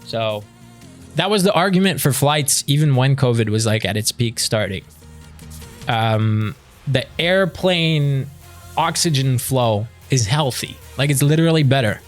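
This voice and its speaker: A man's voice: mid-range voice, expressive, unhurried, soft background music. A man chatting casually with his live-stream viewers before starting a game, reading their messages aloud and answering them.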